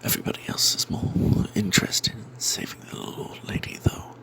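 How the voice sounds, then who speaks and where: A man voicing a roguish, money-minded cleric who tells a fantasy tale in breathy, confiding whispers with snide asides. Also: brisk, bright, forceful tone, natural voice. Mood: dismissive, scheming. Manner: confiding, hesitant, sardonic asides. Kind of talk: first-person fantasy tale told in whispers, ASMR.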